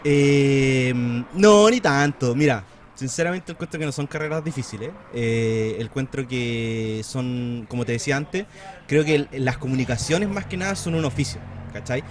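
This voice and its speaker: A man's voice: medium pitch, normal speed, slight background noise. Chilean man chatting casually on a podcast. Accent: Chilean Spanish. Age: 30s. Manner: relaxed and chatty, with frequent fillers and slang. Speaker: podcast guest. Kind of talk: casual conversation.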